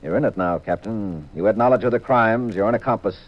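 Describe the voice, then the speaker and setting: An actor playing a cool, shrewd private detective, brisk and assured, in a hard-boiled 1950s radio drama style. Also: male voice, lowish voice, close to an everyday voice. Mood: firm, bluffing. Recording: muffled, hissy 1950s radio recording.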